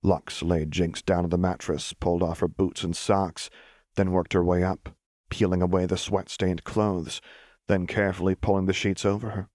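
A man's voice: sits low, darkish tone, dry recording, clean studio sound. A man reading a dramatic story aloud as scripted audiobook narration. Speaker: audiobook reader.

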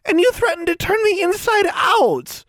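A man's voice: very high voice, big pitch swings, moderate pace, fairly bright tone, dry recording, studio quality, voice pushed up, heavily acted. A man voicing a frightened, defensive young schoolgirl in an audiobook story, with stammering, squealing, tearful delivery. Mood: panicked accusing.

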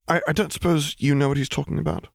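Audiobook officer, acted by a man: proper, stubborn, easily flustered, voice pitched up and sputtering. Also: fairly even intonation, fast, gravelly, no echo, clean studio sound. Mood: bewildered, exasperated. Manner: sputtering, stiff, formal. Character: stubborn, flustered adult male military officer, stiffly polite.

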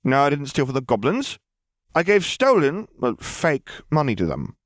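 A man's voice: mid-range voice, big pitch swings, moderate pace, no echo, studio quality, voice pushed up, close to an everyday voice. A man voicing a brash, eccentric young girl crime boss in an audiobook, with breezy, glib, conspiratorial patter. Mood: glib, amused.

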